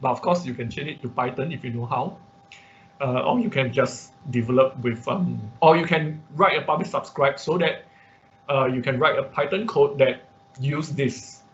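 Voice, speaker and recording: A man teaching an informal online programming class, thinking aloud haltingly and repeating his words as he explains. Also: medium pitch, fairly bright tone. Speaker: instructor. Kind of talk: informal online programming lesson with live demonstration. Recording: muffled, noisy online class audio.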